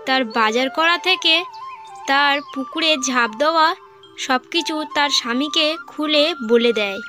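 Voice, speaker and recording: Female storyteller, high voice, scripted cartoon narration over prominent music. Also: measured pace, sharp tone.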